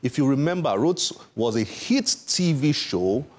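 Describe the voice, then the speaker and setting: A man's voice: mid-range voice, animated, a little slow. A man with a Nigerian accent hosting a TV book segment, enthusiastic and emphatic, repeating words for effect. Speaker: TV book show host. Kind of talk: enthusiastic presenting to camera.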